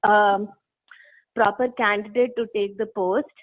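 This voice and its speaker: A woman taking part in an online class, speaking politely and slowly with frequent fillers. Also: medium pitch, steady tone. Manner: polite and measured, many fillers. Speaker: class participant. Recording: thin phone-line sound.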